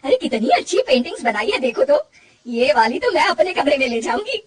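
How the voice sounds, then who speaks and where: A woman doing a loud, bossy mother-in-law. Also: high-pitched, very animated, fairly quick, distant mic, pitched above the performer's own voice. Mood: proud. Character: bossy but kindly older mother-in-law.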